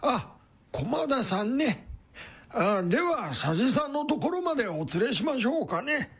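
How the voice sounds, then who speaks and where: An actor playing a kindly, chatty old distillery worker in a radio drama, with a husky, creaky voice and folksy old-fashioned speech. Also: male voice, extremely high pitch, very animated, unhurried, fairly bright tone, heavily acted. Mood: polite, obliging. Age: older. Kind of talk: Japanese radio drama acting.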